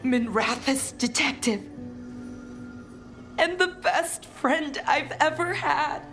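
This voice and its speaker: A woman's voice: sits high, expressive, slow pace, a bit bright, faint music behind, exaggerated voice. An actress playing a young, tender elf woman in a fantasy game, sincere and wistful in grief. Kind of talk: dramatic video game acting.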